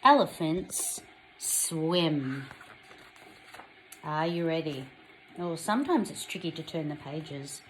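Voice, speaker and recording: An Australian woman reading a picture book aloud to young children, expressively, pausing to put questions to them. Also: low-pitched, a little hiss or hum, poor audio. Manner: addresses young children directly, expressive storytelling.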